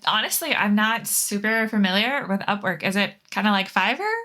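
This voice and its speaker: A woman's voice: medium pitch, normal speed, bright, forceful tone. A woman hosting a podcast, talking casually as she interviews a guest.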